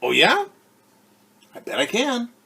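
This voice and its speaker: A man's voice: very high-pitched, wildly swooping pitch, slow, creaky, sharp tone, breathy edge, voice pushed up, heavily acted. A man voicing a spirited, defiant little girl in a picture book read aloud, with bouncy emphasis. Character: spirited little girl who proudly mixes things up.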